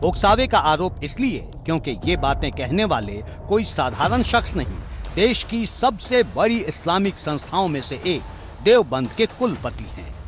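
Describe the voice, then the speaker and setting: A man's voice: fairly high pitch, big pitch swings, normal speed. A man narrating a scripted television news report in a dramatic reporting style. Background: music bed.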